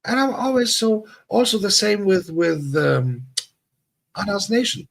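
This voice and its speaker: A man's voice: high voice, a little slow, creaky. A man from Germany, hosting a casual livestream chat and rambling with many restarts and self-deprecating asides. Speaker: livestream host.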